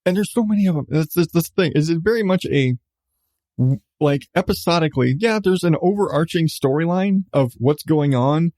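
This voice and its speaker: A man hosting a podcast, giving a casual, rambling review with halting starts, repeated phrases and an irreverent, sweary manner. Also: on the high side, expressive, mellow, no room sound, studio quality.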